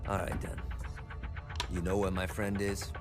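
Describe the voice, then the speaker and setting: A man's voice: sits low, completely flat delivery, unhurried, over music. A man playing a blunt, hot-tempered action hero gunman in a video game cutscene, terse and defiant. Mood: defiant, impatient.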